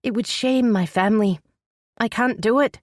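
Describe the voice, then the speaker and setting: A woman voicing a tearful young supernatural princess in a romance audiobook, soft and shaky, pleading sorrowfully yet resolute. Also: normal speed, no room sound, clean studio sound, natural voice. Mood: pained, resolute. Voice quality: soft, shaky, higher-pitched voice. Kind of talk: dramatic romance audiobook dialogue.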